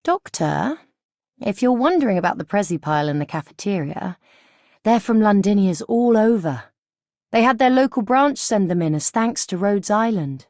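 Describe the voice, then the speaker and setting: A woman's voice: mid-range voice, animated, normal speed, darkish tone, dry recording, clean studio sound, close to an everyday voice. A woman playing a polite, businesslike, kindly city woman in a game. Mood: composed, friendly. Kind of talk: scripted game character greeting.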